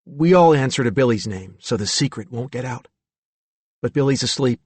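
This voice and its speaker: A man's voice: medium pitch, animated, normal speed, darkish tone, husky, no room sound. A man reading an audiobook, voicing a talkative young man with a casual, friendly manner. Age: young adult.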